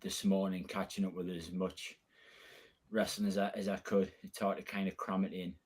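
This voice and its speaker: Very deep, flat, husky male co-host. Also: moderate pace. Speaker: podcast co-host. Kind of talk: casual podcast chat.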